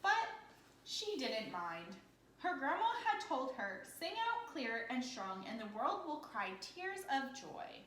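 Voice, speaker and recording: A woman's voice: high-pitched, sing-song, slow, fairly bright tone. A woman teacher reading a picture book aloud interactively, speaking in simple phrases for children and asking prompting questions. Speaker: elementary teacher. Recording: echoey room with background noise.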